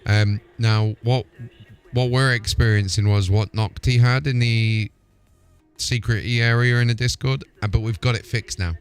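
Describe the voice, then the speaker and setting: A man's voice: mid-range voice, steady tone, moderate pace, clear, resonant tone, soft background music. A man hosting a live gaming stream, talking casually as he plays and troubleshoots.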